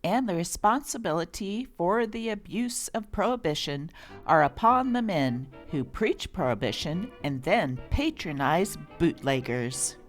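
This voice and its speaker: A woman's voice: fairly low pitch, animated, measured pace, soft background music. A woman hosting and reading old newspaper articles aloud in a scripted narration style.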